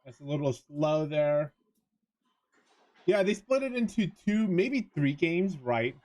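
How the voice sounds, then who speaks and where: Guy streaming a game, kinda high voice, just chatting, stops and starts a lot. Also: big pitch swings, slow pace, a little mellow. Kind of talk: casual chat while streaming a visual novel.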